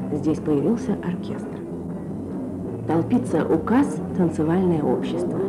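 A woman's voice: lowish voice, poor audio. A woman presenting a scripted Soviet radio programme, reading rhetorically.

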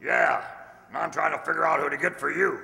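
Older man playing a gravelly, sharp-voiced wisecracking valet. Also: on the high side, steady tone, fairly quick, heavily acted. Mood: sly. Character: wisecracking male household valet, easygoing and flirtatious. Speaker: amateur radio-play actor. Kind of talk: old-time radio comedy acting. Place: large indoor stage hall, stand mic. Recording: reverberant hall, stage microphone.

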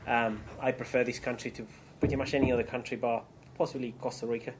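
A man's voice: medium pitch, little pitch movement, fast, background noise. A man from Chile with a Chilean accent, giving a keynote business presentation with frequent ums and restarts. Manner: hesitant, many ums and restarts. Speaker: keynote speaker.

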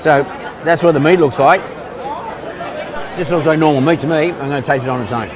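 Excited aussie guy in his 60s, street market vlog, lots of crowd noise behind. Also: fairly high pitch, brisk. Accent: Australian. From Australia. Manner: enthusiastic, effusive. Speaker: vlog host. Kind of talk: casual on-the-street chat. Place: busy outdoor night market. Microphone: lapel mic. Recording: loud crowd around, outdoors. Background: crowd chatter.

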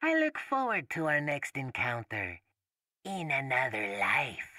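Game villain: tiny aristocratic lord, slow theatrical delivery, swooping pitch, smugly menacing. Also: male voice, huge pitch swings, sharp tone, exaggerated voice. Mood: smug taunting. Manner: pompous, mockingly polite, theatrical. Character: small, aristocratic, smugly sinister male castle lord. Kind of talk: video game villain acting.

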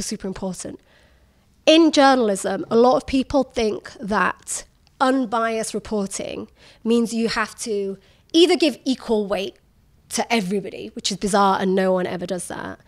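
Forthright woman journalist, emphatic and candid. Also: a little high. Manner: forthright, emphatic, uses many "like" fillers. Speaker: journalist guest. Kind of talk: candid conversational interview.

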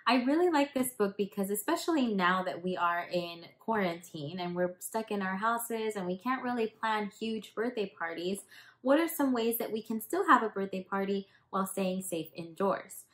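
Animated young woman hosting story time. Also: medium pitch, normal speed, echoey room, poor audio. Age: young adult. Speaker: teacher, story-time host. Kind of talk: casual talk to children about a picture book. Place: small indoor room in front of a hanging tapestry.

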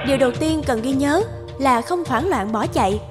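A woman voicing a cheerful, articulate young teacher in an animated drama, speaking politely and explaining things. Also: high-pitched, moderate pace, over music. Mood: instructive.

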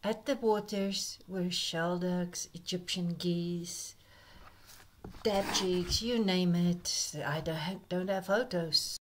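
Slow, soft-spoken woman narrating. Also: lowish voice, steady tone, a little hiss or hum. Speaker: narrator of a birding slideshow. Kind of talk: scripted voice-over narration. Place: voice-over recorded apart from the pictured outdoor scenes.

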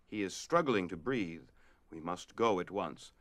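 A man's voice: sits low, lively intonation, measured pace. A man playing a grave otherworldly guardian with a formal, authoritative manner in an audio drama. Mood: grave, urgent.

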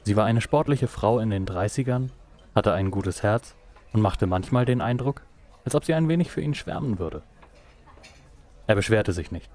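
A man's voice: lowish voice, darkish tone. A man reading scripted narration for a noir audio drama.

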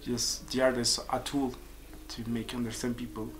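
A man's voice: mid-range voice, level tone. Peruvian guy in his 20s, composer, keeps restarting sentences, small office, bit of hiss. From Peru. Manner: searching for words, halting restarts. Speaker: composer and musician. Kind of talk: casual interview answers. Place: small office with pictures on walls.

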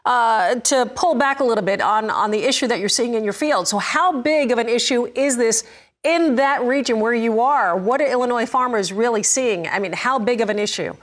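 A middle-aged woman hosts a farm news segment from a TV studio. Her voice is fairly high, and she keeps an upbeat, smooth presenter tone. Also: normal speed. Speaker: news anchor.

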